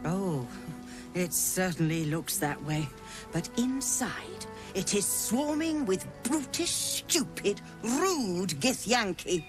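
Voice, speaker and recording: An actress voicing a haughty, scheming merchant woman who talks theatrically and flatters to get her way. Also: medium pitch, slow pace, over music. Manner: theatrical, wheedling, affected.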